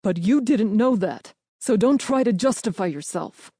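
A woman's voice: mid-range voice, animated, moderate pace, dark tone, slightly rough, no room sound, clean studio sound. A woman voicing a stern, commanding older male general with a curt, withering manner in an audiobook. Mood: stern, hard.

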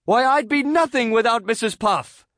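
A man voicing an eager, self-important cartoon sea sponge boy in grand, over-excited declarations for a children's storybook. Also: extremely high pitch, moderate pace, dry recording, studio quality, voice pushed up. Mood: pompous, earnest.

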